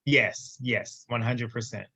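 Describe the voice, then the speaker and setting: A man telling his story as a podcast guest, emphatic and lively. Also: mid-range voice, takes it slowly, husky, no echo. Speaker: guest entrepreneur. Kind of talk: casual interview storytelling.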